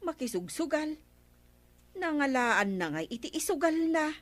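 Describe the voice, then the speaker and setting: Gossipy neighbour woman, Ilocano accent, higher pitch, disapproving, radio comedy drama. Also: sing-song, moderate pace, dry recording.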